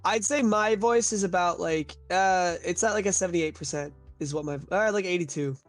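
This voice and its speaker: A man's voice: high-pitched, moderate pace, faint music behind. A man hosting a casual live-stream chat, upbeat and saying "like" a lot, just recovering from being sick. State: recovering from illness. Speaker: host.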